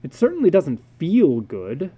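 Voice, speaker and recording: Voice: mid-range voice, wildly swooping pitch, a little slow, mellow, slightly rough, voice pushed up, full character voice. A reader voicing a blunt, worldly male psychologist in a dramatic story reading, speaking emphatically and with dry amusement. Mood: grave, insistent.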